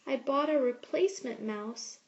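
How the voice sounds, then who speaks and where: A woman reading a picture book aloud like a teacher, with expressive, measured storytelling. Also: high-pitched, highs cut off. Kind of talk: storybook read-aloud narration.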